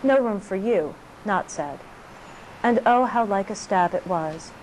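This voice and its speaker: A woman poet reading her work aloud in a measured delivery of short, clipped phrases. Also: mid-range voice, expressive, a little mellow, a little hiss or hum, low-quality recording. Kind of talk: poetry reading.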